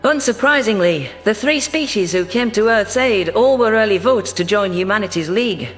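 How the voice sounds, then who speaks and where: A man's voice: high-pitched, animated, normal speed, a bit bright, soft background music. A man narrating a science fiction story in the first person, reading a scripted text.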